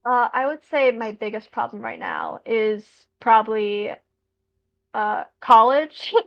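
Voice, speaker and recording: A woman's voice: fairly high pitch, fairly even intonation, takes it slowly, highs cut off. A teenage American girl chatting over a call, rambling with lots of fillers and self-corrections. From United States. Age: teens. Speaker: student guest. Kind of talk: casual conversation.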